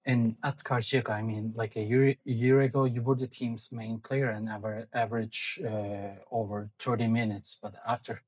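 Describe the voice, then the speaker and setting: Husky-voiced interviewer with a Turkish accent. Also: male voice, fairly low pitch, flat delivery. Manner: hesitant, with pauses and "uh" fillers. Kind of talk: interview questions in English.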